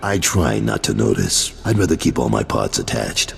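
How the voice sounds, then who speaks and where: An actor playing a gruff, proud old gang boss with a gravelly voice in a video game. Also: male voice, very deep voice, very animated, moderate pace, heavily acted. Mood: gruff approval. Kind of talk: dramatic game cutscene acting. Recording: game music under the voice.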